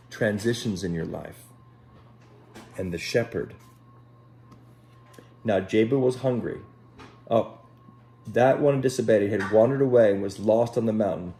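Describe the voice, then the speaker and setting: A man reading a Bible story aloud to children in a gentle, unhurried way, pausing to address them directly as a Sunday school teacher. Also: lowish voice, a little hiss or hum.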